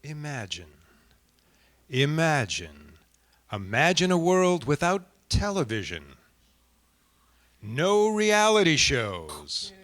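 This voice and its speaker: Theatrical, animated 1940s radio host played by a man. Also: fairly high pitch, heavily acted. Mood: grand, nostalgic. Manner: theatrical, deliberate, repeats words for effect. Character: showmanlike 1940s radio announcer, grandly nostalgic. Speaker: host of a staged radio show. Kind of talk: 1940s radio host acting, scripted narration.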